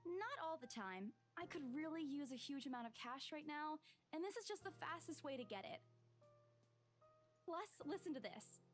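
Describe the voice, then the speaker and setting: An actress playing a stubborn, playful young realtor short of money in a visual novel game, speaking in an animated, defensive way. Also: female voice, sits high, normal speed, soft background music. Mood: eager, determined.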